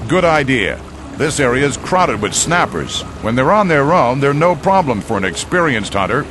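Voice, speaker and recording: A man's voice: medium pitch, big pitch swings, normal speed, noisy background, lightly acted. A man playing a friendly, seasoned hunter in a video game, hearty and chummy in manner. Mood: relaxed, chummy. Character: friendly seasoned male hunter, cheerfully bold fighter. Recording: loud music behind the voice.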